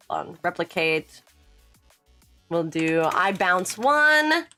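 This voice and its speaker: A woman's voice: medium pitch, fairly bright tone. A young woman in her late twenties talks casually with her stream chat in a chatty, rambling way. She breaks off into laughing asides. Faint music plays under her voice. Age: late 20s. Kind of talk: casual live-stream chat while working.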